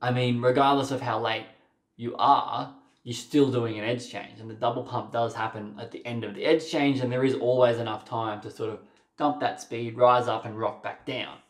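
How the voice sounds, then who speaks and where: A man's voice: mid-range voice, steady tone, normal speed. A young man giving waterski coaching over video, direct and encouraging, often saying "mate" and "sort of". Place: small room with a plain wall. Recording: roomy echo.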